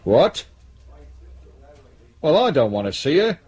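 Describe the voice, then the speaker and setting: A man's voice: a little high, sing-song, slow pace, a little mellow, breathy edge, full character voice. An actor playing a world-weary, sardonic English detective sergeant in his forties in a radio drama. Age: 40s.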